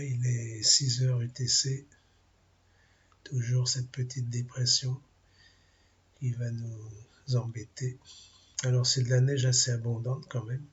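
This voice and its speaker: Male amateur forecaster with a French accent, congested and husky, slow and halting, on a dull lo-fi recording. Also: medium pitch, little pitch movement. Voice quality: gravelly, husky. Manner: halting, many fillers, thinking aloud over weather maps. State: has a cold, clears throat. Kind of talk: informal weather briefing.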